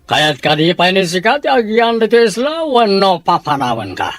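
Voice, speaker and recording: An actor playing confrontational island figures, a domineering landowner among them, in a theatrical radio drama style. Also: male voice, sits high, very animated, moderate pace, a bit bright, no echo, soft background music. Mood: threatening.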